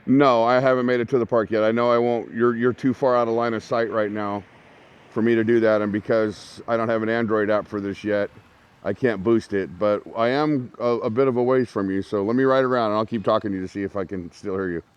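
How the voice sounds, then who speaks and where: A man's voice: on the low side, steady tone, brisk, clean tone, muffled recording. A man hosting a gear review vlog, chatting informally and directly to his viewers. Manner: informal, conversational, talks to viewers. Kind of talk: casual product review chat to camera.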